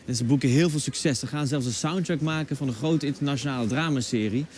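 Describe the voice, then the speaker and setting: A man's voice: medium pitch, fast, a little mellow, slight background noise. A man presenting a TV travel show, narrating in an upbeat, chatty way and interviewing guests outdoors on a bar terrace. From Netherlands. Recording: music playing behind the voice.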